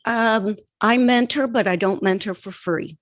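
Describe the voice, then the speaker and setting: A woman speaking as an author and mentor in an interview, earnest, deliberate and emphatic. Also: medium pitch, no echo, clean studio sound. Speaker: podcast guest, author and writing mentor. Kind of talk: conversational interview.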